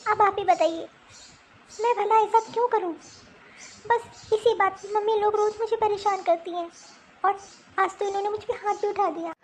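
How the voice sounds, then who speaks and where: Woman playing a high-voiced wronged young wife. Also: steady tone, moderate pace, long pauses, a little hiss or hum. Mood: hurt pleading. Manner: pleading, protesting, explanatory. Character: wronged young wife, honest, firmly defending herself. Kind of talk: Hindi cartoon family drama acting.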